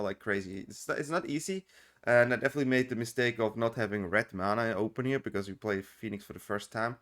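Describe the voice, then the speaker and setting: A man's voice: medium pitch, fairly bright tone. A man with an accent that is hard to place, casually talking through a card game video as its host, loose and full of fillers. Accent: unplaced non-native accent. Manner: chatty, loose, with many fillers and restarts. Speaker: content creator and streamer. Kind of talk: casual gameplay commentary.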